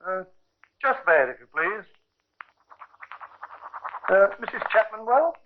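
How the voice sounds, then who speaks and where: High-voiced fussy chemist. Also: male voice, very animated, takes it slowly, background noise, heavily acted. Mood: courteous. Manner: courteous, hesitant, precise shopkeeper patter. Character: polite, fussy, obliging male Victorian chemist. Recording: muffled 1950s radio recording.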